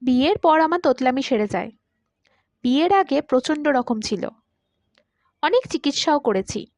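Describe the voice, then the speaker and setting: A courteous, talkative man of about forty in an audiobook, read by a woman, confiding and wistful. The voice sits high, and the sound is dry and free of echo. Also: lively intonation, moderate pace, darkish tone. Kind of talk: audiobook character dialogue.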